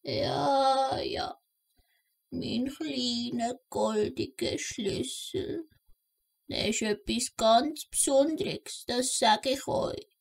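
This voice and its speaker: A woman playing an old kobold grandmother with a Swiss German accent in a children's audio play, telling tales deliberately, grumbly but indulgent. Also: high-pitched, vocal fry, heavily acted, poor audio. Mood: indignant. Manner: slow, deliberate storytelling with scolding asides.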